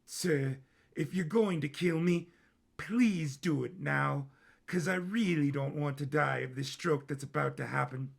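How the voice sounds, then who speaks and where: A man's voice: a little high, a little slow, gravelly, slightly rough, full character voice. A man voicing a timid young dog-headed mutant waiter in an audio story, hesitant, nervous and polite. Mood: fearful, pleading.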